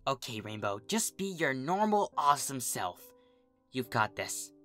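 A reader acts out a young female cartoon pegasus for a storybook. She is brash and casual but easily flustered, stammering in a bright, lively voice over music. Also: medium pitch, a little slow. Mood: awkward, nervous. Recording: music behind the voice.

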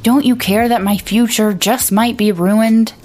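A woman's voice: medium pitch, lively intonation, unhurried, a little mellow. A woman doing a sarcastic, scolding young man. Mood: scornful. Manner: scornful, scolding.

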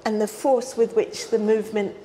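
A middle-aged woman who grew up in London, explaining environmental work in a relaxed sit-down interview, earnest and measured. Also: medium pitch. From South Africa, raised in London. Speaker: environmental scientist, interview guest. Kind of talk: conversational interview. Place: hotel lounge, seated on a sofa indoors.

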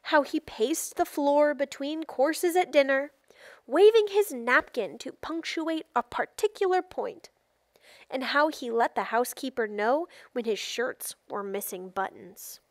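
High-voiced woman reading a storybook aloud. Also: animated, a little slow, dry recording. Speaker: storybook reader. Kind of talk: expressive read-aloud of a children's picture book.

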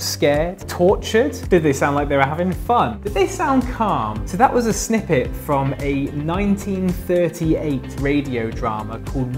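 A man in his thirties teaching a drama lesson to camera in an animated, playful way, full of rhetorical questions. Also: a little high. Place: living room with brick fireplace and piano, indoors.

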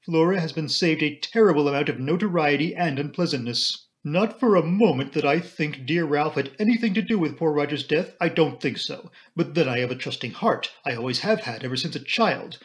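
A man's voice: on the high side, brisk, hardly any pauses, close to an everyday voice. A man voicing a fretful, self-pitying middle-aged society mother in an audiobook, genteel, plaintive and rambling.

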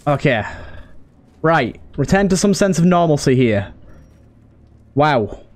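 A man's voice: a little high, sing-song, takes it slowly. A man giving casual let's play commentary, pleading with and exclaiming at the game characters as he talks. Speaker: gaming video host and player.

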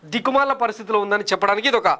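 A man in his thirties delivers scripted political commentary from a studio, his voice pitched high above most men. He speaks quickly with a sarcastic, combative edge, piling up rhetorical questions. The sound is close and clean from a lapel mic. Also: pushed and bright. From Andhra Pradesh, India. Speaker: political news presenter. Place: studio in front of a large screen.

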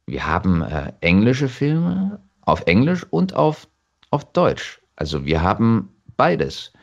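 A man with a German accent talking reflectively as an interview guest, thoughtful and halting as he restarts his phrases. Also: fairly low pitch, huge pitch swings, gravelly, dull audio.

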